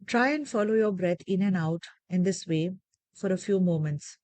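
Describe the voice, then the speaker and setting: A woman leading a guided meditation with gentle, measured, soothing instructions and deliberate pauses. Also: fairly low pitch, dry recording.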